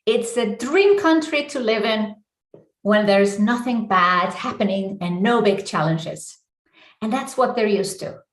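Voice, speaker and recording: The voice rings around an echoey room. It belongs to an Icelandic-accented woman with a medium pitch. She presents as a business coach in a live online session, setting out her points deliberately in short, emphatic phrases.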